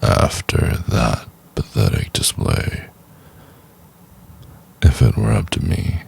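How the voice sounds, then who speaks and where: A man playing a cruel, domineering corporate executive in an intimate ASMR roleplay, condescending and drawn-out, with a gravelly, husky, half-whispered voice. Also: very deep voice, big pitch swings, a little mellow, a little hiss or hum, full character voice. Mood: disdainful, belittling.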